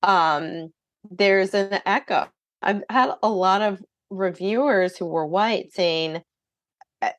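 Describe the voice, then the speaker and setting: A middle-aged woman with a lowish voice speaks earnestly and thoughtfully as a podcast guest. The sound is close and dry, with no room around her. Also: no room sound. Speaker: guest author. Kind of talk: podcast interview.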